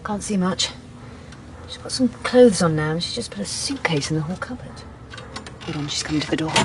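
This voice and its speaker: A no-nonsense female detective with a fairly low voice. She is direct and sceptical, in a radio drama scene with faint noise behind her. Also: expressive, a little fast. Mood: questioning. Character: shrewd, no-nonsense female vice squad detective.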